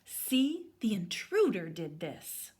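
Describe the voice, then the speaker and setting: A woman's voice: medium pitch, big pitch swings, takes it slowly, darkish tone, breathy edge, heavily acted. A woman voicing a cheeky, scheming young boy bear from a storybook, pleading and insistent.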